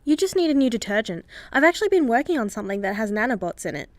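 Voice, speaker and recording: A female actor plays a brainy, practical member of a superhero team. Her voice is fairly high and dryly recorded, with an earnest, eager manner in comedy radio play style. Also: very animated, very fast, no echo. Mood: helpful, earnest.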